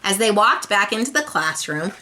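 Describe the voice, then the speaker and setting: Bright-voiced woman reading a children's story. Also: mid-range voice, sing-song, moderate pace, slight background noise. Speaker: storybook reader. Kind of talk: animated read-aloud for children.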